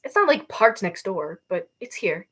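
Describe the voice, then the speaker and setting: A woman's voice: mid-range voice, big pitch swings, normal speed, a bit bright, husky. A woman voicing a restless, earnest young nonbinary soldier and pilot in a novel reading, speaking casually. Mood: earnest, defensive.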